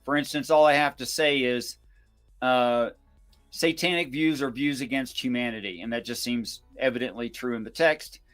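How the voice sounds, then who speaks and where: Male Bible discussion co-host, mid-pitched, measured and explanatory, music underneath. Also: fairly bright tone. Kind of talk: informal scripture commentary, reading verses aloud.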